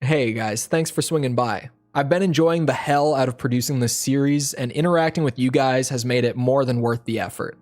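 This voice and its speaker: Slightly hoarse man reviewing games. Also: mid-range voice, brisk, hardly any pauses, darkish tone. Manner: casual, conversational. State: slightly hoarse, near losing his voice. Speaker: video game reviewer. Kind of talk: scripted review voiceover. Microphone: home voiceover mic.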